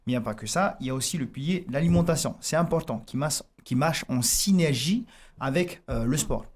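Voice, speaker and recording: A man with a Tahitian accent speaks in a radio studio as a fitness coach guest. His voice sits at a middling pitch. He explains eagerly, stumbling into restarts and fillers as he goes. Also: lively intonation, fairly quick.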